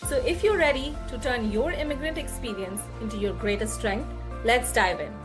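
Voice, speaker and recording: Higher-pitched woman hosting a podcast. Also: moderate pace, over music. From India. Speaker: podcast host. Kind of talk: scripted podcast introduction and interviewing.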